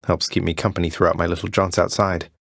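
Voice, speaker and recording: A young rebel prince of the underworld speaks in a deep British voice. He is courteous but wry, delivering his game dialogue with casual understatement. The sound is dry, with no room around it. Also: little pitch movement, fast talker, creaky, no room sound. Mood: earnest, friendly. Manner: dry, understated, conversational politeness. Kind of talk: video game character dialogue.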